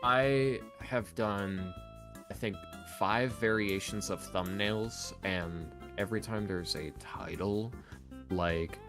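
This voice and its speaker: Guy with a fairly low voice rambling on a podcast, sardonic, bit of guitar music behind him. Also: slow. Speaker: interview guest, ASMR roleplay creator. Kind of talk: casual podcast chat. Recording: music playing behind the talk. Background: background music with guitar.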